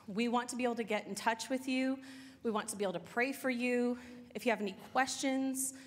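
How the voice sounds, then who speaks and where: A woman's voice: on the high side, brisk. Woman announcer on a church stage, welcoming, reading notes, long reverb in the hall. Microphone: handheld microphone, far camera.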